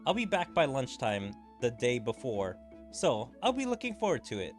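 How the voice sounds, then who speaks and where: A man reading the lines of a calm young male leader in a game, relaxed and friendly. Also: medium pitch, moderate pace, faint music behind. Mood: easygoing.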